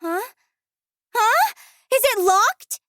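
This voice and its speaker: Timid, very high-pitched young girl. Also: very animated, drawn-out words, sharp tone, heavily acted. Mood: uneasy, gentle. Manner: hesitant, stammering, pleading. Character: timid, proper, soft-hearted young girl servant. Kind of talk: video game voice acting.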